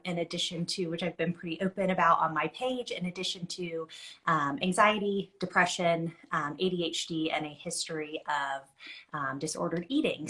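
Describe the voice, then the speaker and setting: A woman's voice: on the low side, pushed and bright. A woman dietitian introducing herself on an online panel, upbeat and open, with "um" fillers. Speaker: registered dietitian, panel guest.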